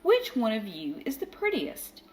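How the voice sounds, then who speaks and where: Woman as an eager old man, slow-paced. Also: fairly high pitch, wildly swooping pitch, darkish tone, a little hiss or hum. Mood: simple, eager. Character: very old man, joyful, simple, indecisive cat lover.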